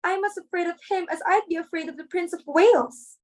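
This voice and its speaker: A woman's voice: extremely high pitch, fairly quick, a little mellow. A woman playing a timid, reluctant young society daughter in an amateur reading of a stage comedy. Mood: plaintive, reluctant.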